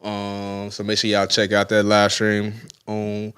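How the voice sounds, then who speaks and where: A young man hosting a live-stream talk show, teasing his co-hosts in loud, slangy banter and hyping tickets. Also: lowish voice, completely flat delivery, measured pace, smooth, dry recording. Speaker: show host. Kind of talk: casual live-stream chat.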